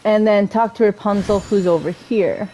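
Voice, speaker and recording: A woman giving casual, chatty gameplay commentary, excitable and often interrupting herself. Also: medium pitch, normal speed, a little hiss or hum, rough recording. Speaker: video game streamer playing through a story quest.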